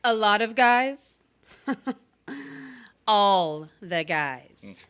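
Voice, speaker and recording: Woman playing a proud, bright-voiced restless wife. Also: mid-range voice, animated, very slow, clear, resonant tone. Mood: confessional. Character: proud, restless young wife of a diner owner. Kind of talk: stage drama performed online.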